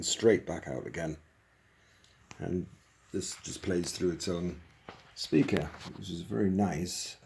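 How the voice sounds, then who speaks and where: A man's voice: deep voice, gravelly, mellow, slight background noise. A man giving a casual, hands-on explanation as a hobbyist, informal and thinking aloud as he goes. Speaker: hobbyist presenter demonstrating a modified radio.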